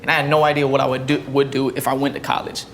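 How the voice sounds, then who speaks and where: A man's voice: medium pitch, slight background noise. A man in his twenties, a barber hosting the show, chatting casually while cutting a guest's hair. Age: 20s. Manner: fast, upbeat, hype opener then relaxed friendly banter. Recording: music bed behind the voice. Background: music.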